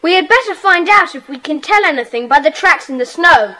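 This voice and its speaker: A woman playing a bossy, eager British schoolboy club leader in a children's audio drama, brisk and commanding. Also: sits high, animated, pushed and bright, rough recording.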